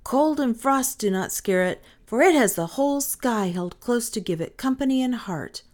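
A woman's voice: a little high, animated, moderate pace, darkish tone, voice pushed up, close to an everyday voice. A woman voicing a careful, serious young boy, a protective older brother in a storybook. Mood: earnest. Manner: earnest, serious.

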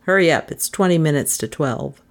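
A woman voicing a casual, wry male detective in a crime story, with a brisk, bossy manner. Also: low voice, animated. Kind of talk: storybook character voice in crime fiction.

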